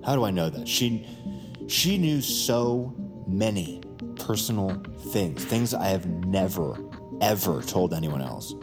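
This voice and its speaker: Sardonic male captive, low pitch, anxious, halting repetitions, audio fiction, music behind. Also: expressive, full character voice. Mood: wary, doubtful. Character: sarcastic, anxious male captive plotting escape. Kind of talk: naturalistic audio fiction acting. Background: prominent music.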